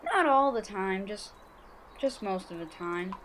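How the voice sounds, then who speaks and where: A woman's voice: mid-range voice, expressive, unhurried, a bit bright, slight background noise. A woman playing a young, bullied schoolboy, eager and naive, in an amateur audio drama. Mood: dejected, confiding.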